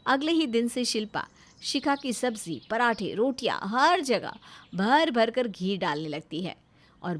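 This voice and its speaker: A woman's voice: medium pitch, a bit bright. A woman narrating a scripted moral story as a storyteller.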